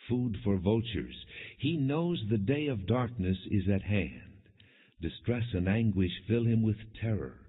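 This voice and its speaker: A man narrating scripture as an audiobook in a solemn, measured, non-dramatized reading with deliberate phrasing. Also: low-pitched, breathy edge. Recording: muffled, narrow phone-like sound.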